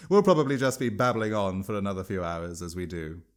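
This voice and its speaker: A man's voice: deep voice, expressive, brisk, pitched below the performer's own voice. A man voicing a smug, chattering imperial minister in a storybook, breezy and dismissive.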